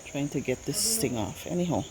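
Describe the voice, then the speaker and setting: A woman's voice: low-pitched, sing-song, moderate pace, a crowd in the background. A woman with a Guyanese accent chats casually to viewers in a home cooking vlog, thinking aloud and repeating herself. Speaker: cooking vlogger. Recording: noisy home recording with insect chirps.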